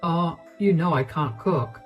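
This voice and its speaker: A woman's voice: sits low, a little slow, breathy edge, lo-fi sound, faint music behind, voice pushed down. A woman doing a whiny, defensive husband. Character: lazy unemployed husband, defensive and entitled.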